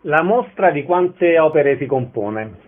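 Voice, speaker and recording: A man's voice: on the high side, lively intonation, slow, phone-quality sound, background noise. A man hosting a radio programme, putting questions and making announcements in an orderly, presenterly way. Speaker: radio host.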